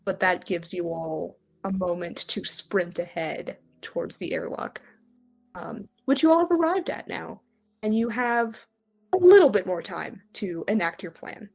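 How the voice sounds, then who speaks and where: Low-pitched, creaky woman as game master. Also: expressive, unhurried, darkish tone, soft background music. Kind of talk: improvised narration of a tabletop roleplaying game.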